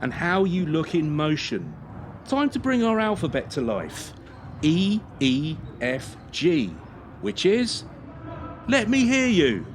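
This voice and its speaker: Cold patronising male coach, radio play, officious, highish voice, faint noise behind. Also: very animated, slow pace. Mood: brisk, commanding. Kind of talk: radio drama acting.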